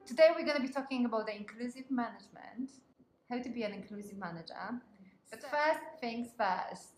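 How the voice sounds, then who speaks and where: A woman's voice: fairly high pitch, fairly quick, soft background music. A woman with a Polish accent interviewing two colleagues in a friendly way about work. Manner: friendly, asks questions, laughs easily. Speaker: interviewer, people partner. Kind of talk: workplace interview. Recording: roomy office with faint noise.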